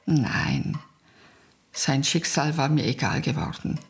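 A weary aunt being questioned in a crime drama. Her voice is heavily creaky, and she talks bluntly, with dry indifference. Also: very deep voice, sing-song, takes it slowly, full character voice. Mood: blunt, indifferent. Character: weary, indifferent, plain-spoken aunt of delinquent boy. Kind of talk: German radio crime drama acting.

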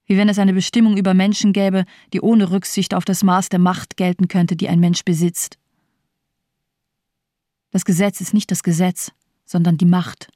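A woman's voice: fairly low pitch, dark tone, no echo, studio quality, close to an everyday voice. An actress playing a cold, bitterly nihilistic female doctor in a German radio crime drama, speaking in bleak, disdainful declamation.

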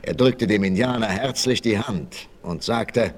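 An actor narrating a western adventure in an emphatic, suspenseful style for a 1960s radio play. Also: male voice, fairly low pitch, a little slow, natural voice. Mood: dramatic, gripping. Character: dramatic storyteller of a western adventure. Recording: vintage vinyl radio play, faint noise.

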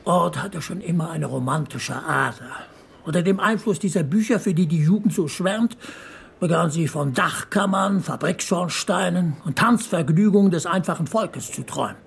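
A man's voice: sits high, creaky, slight background noise. An actor playing a middle-aged, well-off, controlling father in a radio crime drama, formal and disapproving in manner. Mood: stiff, disapproving. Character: well-off, controlling bourgeois father hiring a detective.

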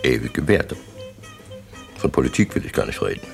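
A refined, menacing gangster boss with an extremely low voice and heavy creak. He lectures in deliberate, clipped phrases with wide swings in pitch, cold and pompous, in a radio crime drama with faint music. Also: big pitch swings, exaggerated voice. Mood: scornful, forceful. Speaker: actor.

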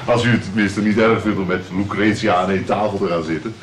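A man's voice: fairly low pitch, steady tone, fast talker, slight background noise, close to an everyday voice. A man playing a sly, teasing courtier in a radio play, with a mocking, knowing way of talking. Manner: mocking, swooping, chuckling. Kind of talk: radio drama acting. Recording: radio play with tavern crowd behind.

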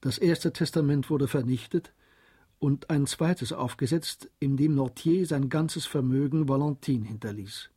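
An actor as the composed storyteller of a German radio drama, narrating a classic novel in a husky voice. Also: male voice, mid-range voice, a little slow, dark tone.

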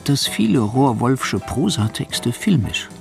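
A man's voice: mid-range voice, big pitch swings, slow pace, creaky, a little mellow, soft background music. A man narrating a scripted radio feature in formal, literary phrasing.

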